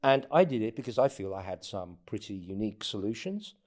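A man speaking as an interview guest in casual anecdotal talk, often halting and repeating words as he goes. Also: lowish voice, big pitch swings, darkish tone. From born in North Africa, has lived in England and the United States. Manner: halting, stammered repetitions of words. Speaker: interview guest, former presidential candidate.

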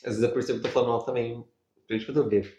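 A man's voice: medium pitch, fairly even intonation, mellow, lo-fi sound. A young man chatting casually as a co-host, slangy and full of fillers. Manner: fast, slangy, full of fillers like mano and velho. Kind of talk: casual chat. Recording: echoey room.

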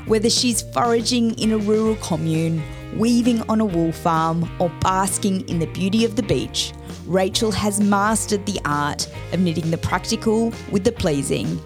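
A woman host reading a scripted show introduction in a measured, polished way. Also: medium pitch. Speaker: podcast host and stylist. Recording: studio voice-over over guitar music. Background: guitar music.

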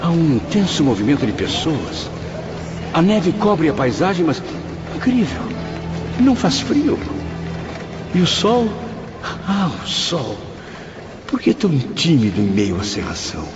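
An anguished male spirit in a radio drama, looking back on the afterlife with awe. His delivery is dramatic and very animated in pitch. The sound is dull and lo-fi, with music clearly underneath. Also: a little slow, pauses often. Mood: bewildered wonder. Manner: dramatic, awed, reflective storytelling. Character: anguished Portuguese man, a spirit recalling the afterlife.

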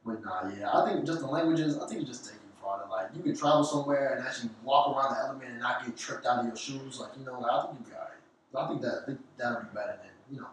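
A young man from the American South with a Southern accent tinged with Jamaican, co-hosting a casual chat in an animated, slangy way. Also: medium pitch, quick pace. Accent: Southern US mixed with Jamaican. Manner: animated, laughing, lots of slang and fillers. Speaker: podcast co-host. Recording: echoey room, distant mic, faint hiss.

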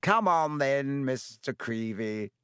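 A man voicing a vain, jovial teacher who booms with pompous, paternal self-satisfaction. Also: big pitch swings, slow, fairly bright tone, dry recording, studio quality, full character voice. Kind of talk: audiobook character voice.

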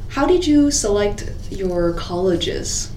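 A woman's voice: medium pitch, big pitch swings, slow, a little mellow, a little hiss or hum. A woman podcast host who reads a scripted introduction and then chats with her guest in an encouraging way.

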